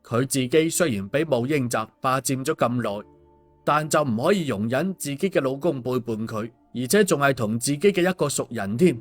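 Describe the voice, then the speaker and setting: A man's voice: mid-range voice, expressive, normal speed. Male storyteller over faint music. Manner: colloquial, with sentence-final particles. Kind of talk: scripted audiobook narration.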